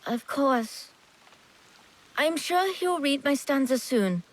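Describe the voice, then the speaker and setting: A woman's voice: sits high, expressive, slow. A woman voicing a polite, earnest young boy, the son of a feudal lord, in a game cutscene. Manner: polite and eager. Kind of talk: video game cutscene acting.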